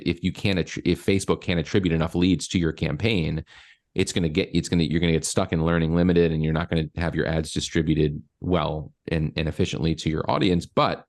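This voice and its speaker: A man's voice: sits low, a little mellow. A man in his forties coaching a client step by step over a video call, explaining with many fillers. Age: 40s. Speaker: marketing coach. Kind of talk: screen-share coaching session.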